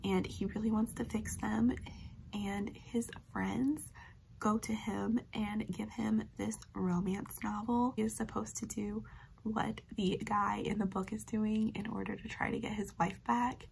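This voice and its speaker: A young woman in her twenties talks books in her bedroom, upbeat and rambling, her voice a touch hoarse. Her pitch sits fairly high, with a gravelly fry running through it. A faint hiss hangs behind her. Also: steady tone, slow pace, a little mellow, rough recording. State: slightly hoarse from a cough. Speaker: book vlogger. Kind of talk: casual book review chat. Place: bedroom, indoors. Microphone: webcam facing her at close range.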